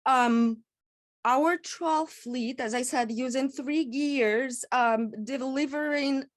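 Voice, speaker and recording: A woman from Kazakhstan presents as an invited speaker at a public panel. Her voice sits a little high for a woman. She talks in a measured way, with frequent "um" pauses. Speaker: executive director, invited speaker.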